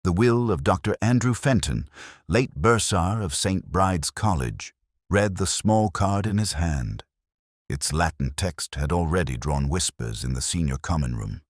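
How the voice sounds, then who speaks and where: A man reading scripted first-person narration of a detective mystery in a measured, brooding, literary manner. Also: low-pitched, animated, no echo, clean studio sound. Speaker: audio drama narrator.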